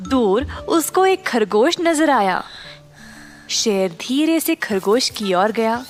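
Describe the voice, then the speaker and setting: A woman's voice: on the high side, expressive, slow, soft background music. A woman narrating a scripted story for children as a storyteller.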